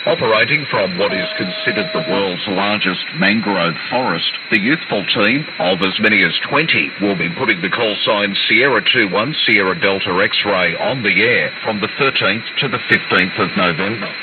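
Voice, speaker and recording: Man, lowish pitch, scripted news correspondent, narrow shortwave sound, loud static. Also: expressive, normal speed, hardly any pauses. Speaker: amateur radio news correspondent. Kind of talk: scripted news report read aloud. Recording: very noisy narrow-band shortwave radio reception.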